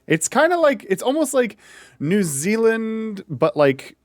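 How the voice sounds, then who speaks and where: Streamer type, man, lively giggly delivery, dry close sound. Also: high voice, measured pace, no echo. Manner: joking, laughs often, talks in restarts. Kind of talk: casual gaming chat.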